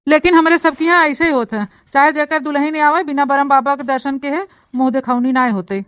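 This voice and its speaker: A woman's voice: high voice, steady tone, a little fast, a bit bright, dry recording, studio quality. A woman voicing a scheming, grumbling young village bride with an eastern Uttar Pradesh accent in a comic cartoon. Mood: evasive. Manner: melodramatic, grumbling. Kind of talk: comic cartoon story dialogue in rustic dialect.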